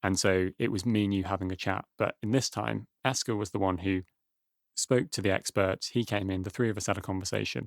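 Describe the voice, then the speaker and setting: Gravelly low-voiced male podcast host. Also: fairly even intonation, no echo, clean studio sound. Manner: chatty, quick, frequent agreeing murmurs. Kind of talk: casual studio chat. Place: small dry studio.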